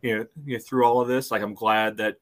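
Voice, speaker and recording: A podcast co-host arguing a soccer call, with "you know" between nearly every phrase. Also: male voice, on the low side, little pitch movement, fast. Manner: loose, fills with "you know" often. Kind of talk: casual sports debate.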